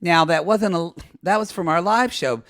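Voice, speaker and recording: A man co-hosting a podcast in casual, crude, joking chat, his voice husky from talking a lot. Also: high-pitched, normal speed, fairly bright tone. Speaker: podcast co-host.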